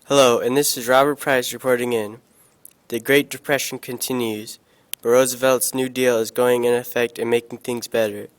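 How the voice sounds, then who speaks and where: Teen guy reading class news really flat, smooth clear voice. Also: mid-range voice, normal speed. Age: teens. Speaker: student news reporter. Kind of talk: school project news report.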